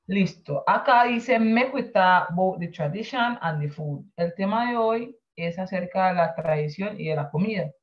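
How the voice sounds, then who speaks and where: A woman whose first language is San Andrés Creole, teaching an online class and slowly explaining and translating each word. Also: lowish voice. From San Andrés Island, Colombia. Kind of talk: online class over a video call. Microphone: video call. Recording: dull lo-fi video call audio.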